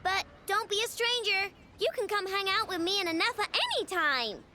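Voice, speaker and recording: A woman voicing a small, excitable, childlike girl in a video game cutscene, with a squeaky, chirpy delivery. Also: moderate pace, noisy background. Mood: cheerful, matter-of-fact. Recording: music behind the dialogue.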